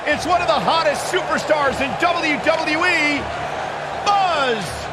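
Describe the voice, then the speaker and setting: A man voicing an excitable play-by-play wrestling announcer in live TV commentary style for a video game. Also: very high-pitched, slow, a loud crowd, heavy background noise, full character voice. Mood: upbeat.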